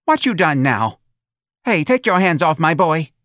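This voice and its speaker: A man's voice: a little high, big pitch swings, measured pace, fairly bright tone, no echo, clean studio sound, pitched above the performer's own voice. A man voicing a protective, indignant older working-class mother who scolds and pleads in colloquial speech.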